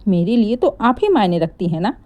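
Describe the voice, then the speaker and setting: A woman's voice: medium pitch, big pitch swings, a little fast, dull audio, lightly acted. A woman voicing a composed young daughter-in-law in a family story, polite but pointed and mock-innocent. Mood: coolly pointed.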